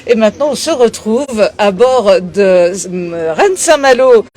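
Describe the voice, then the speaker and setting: A woman's voice: mid-range voice, expressive, slow, darkish tone. A woman conducting an interview on location as the interviewer. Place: aboard a sailing boat in port. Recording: noticeable background noise on location.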